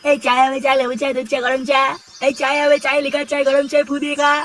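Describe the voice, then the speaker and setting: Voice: high voice, completely flat delivery, quick pace, fairly bright tone. A performer voicing a poor, put-upon older tea seller, whining and repeating himself in a comedy cartoon. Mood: hawking. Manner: whining, repetitive, pleading. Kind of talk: Bengali comedy cartoon voice acting. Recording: noisy, distant pickup with clicks.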